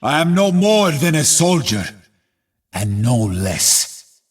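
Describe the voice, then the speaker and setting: An actor playing a stoic, battle-weary warrior in a video game, speaking gravely and deliberately in measured, declamatory lines with a gravelly, husky voice. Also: male voice, fairly high pitch, sing-song, exaggerated voice. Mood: defiant.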